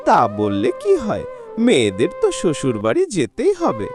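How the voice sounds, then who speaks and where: A man's voice: medium pitch, huge pitch swings, normal speed, over music. A man playing a devoted, weary middle-aged woodcutter father in a cartoon, fussing and muttering to himself expressively. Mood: fond, hurried. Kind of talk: cartoon voice acting.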